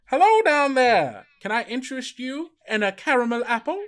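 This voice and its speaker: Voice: sits high, big pitch swings, moderate pace, a bit bright, voice pushed up. A game master voicing a cheerful long-necked carnival vendor creature with folksy sales patter. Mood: welcoming.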